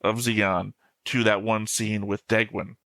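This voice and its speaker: A man with a fairly low voice and a noticeable creak talks casually, as a host reacting to what he watches. He speaks haltingly and picks at small details. The sound is close and dry. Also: level tone, a bit bright, no echo.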